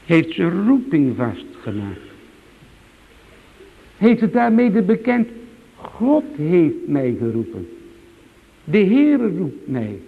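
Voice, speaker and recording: A man's voice: high-pitched, creaky, long reverb tail, narrow, tinny audio. A man preaching a sermon in a church, with a solemn, swooping preaching cadence.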